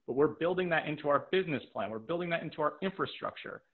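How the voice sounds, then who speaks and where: A man's voice: a little high, animated, quick pace. A man coaching a live webinar, direct and practical, repeating points for emphasis and checking in with "right?", his voice tiring after a long day. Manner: checks in with rhetorical "right?" and "okay?". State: voice tiring from talking all day. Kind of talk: live webinar teaching with audience questions. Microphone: video call microphone. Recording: narrow, tinny video-call audio.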